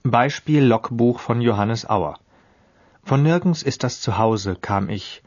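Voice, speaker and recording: A man's voice: medium pitch, lively intonation, slow, a little mellow, dull audio. A man reading an experimental radio piece with a dry, declamatory manner and sudden shifts in tone.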